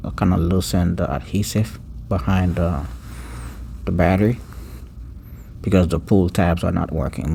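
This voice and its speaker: A man giving an unscripted step-by-step repair tutorial, pausing mid-sentence and repeating words as he goes. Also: low-pitched, gravelly, mellow, slight background noise.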